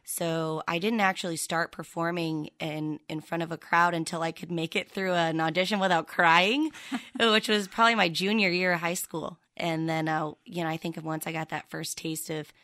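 A woman country singer from the American Midwest, with a lower speaking voice, telling stories chattily with many fillers. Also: fairly even intonation, normal speed, a bit bright, dry recording, clean studio sound. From Midwestern United States. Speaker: country singer, interview guest. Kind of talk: conversational interview.

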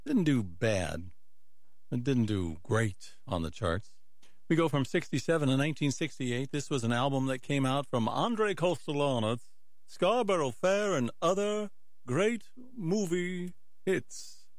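A man's voice: medium pitch, lively intonation, a little slow, no echo. A man hosting a radio music show in a casual, rambling way, musing aloud between records. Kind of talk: casual disc jockey talk between records.

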